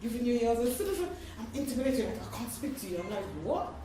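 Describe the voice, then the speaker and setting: A woman telling a personal story in an animated way during a group discussion. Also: on the high side, quick pace. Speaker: community member speaking at a gathering. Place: echoey indoor room. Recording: very echoey room, distant mic, noisy.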